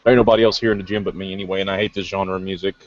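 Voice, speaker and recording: Deep-voiced male guest, calling in from a gym over a dull lo-fi online call, hesitant and thoughtful. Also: little pitch movement, very fast. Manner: thoughtful, hesitant, many fillers. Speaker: guest newcomer. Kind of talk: casual online group discussion. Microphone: video call.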